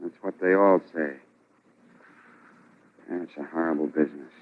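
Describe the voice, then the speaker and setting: A man playing a blustering, stubborn police commissioner, gruff and accusatory, in 1940s radio drama style. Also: a bass voice, a little slow, noisy background. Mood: grudging. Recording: dull old radio recording.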